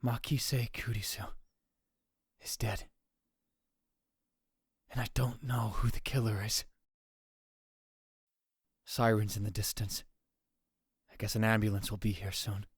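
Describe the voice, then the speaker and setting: Guy doing a young student narrating his own nightmare, husky and slow, hushed and tense. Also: fairly low pitch, little pitch movement, no echo, clean studio sound, heavily acted. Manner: tense inner monologue, dropping to whispers. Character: young male student, shaken by a murder. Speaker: narrator and lead voice in a fan dub. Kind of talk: first-person visual novel narration.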